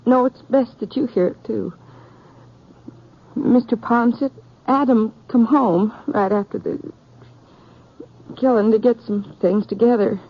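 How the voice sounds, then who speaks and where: An actress playing an anxious, humble American farm wife in a radio western, pleading and halting, with a husky voice. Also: female voice, on the high side. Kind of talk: 1950s radio drama acting. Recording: muffled old radio broadcast.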